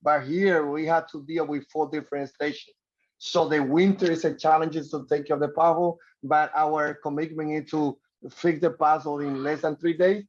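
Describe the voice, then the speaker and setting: Official, flat dull audio. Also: male voice, on the high side. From Dominican Republic. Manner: earnest, halting, repeats words. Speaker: transportation official. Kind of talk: testimony at a council hearing.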